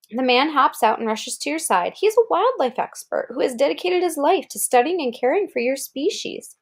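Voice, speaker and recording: A woman reading an article aloud as if to a child, pausing to explain words and point things out. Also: on the high side. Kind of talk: read-aloud narration with casual asides.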